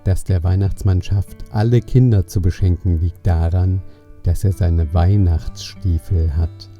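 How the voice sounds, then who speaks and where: Deep super mellow guy reading a kids story, measured, talks right to the listener, faint guitar. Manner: measured, addressing the young listener directly. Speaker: narrator. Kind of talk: scripted children's storytelling narration. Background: soft plucked guitar music.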